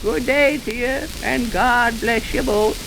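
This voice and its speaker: Garrulous old village blacksmith, rural English accent, unhurried gravelly grumble, crackly vintage radio drama. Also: male voice, extremely high pitch, exaggerated voice. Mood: grateful. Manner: folksy, grumbling, repeats himself for emphasis. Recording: noisy, hissy, crackling old radio recording.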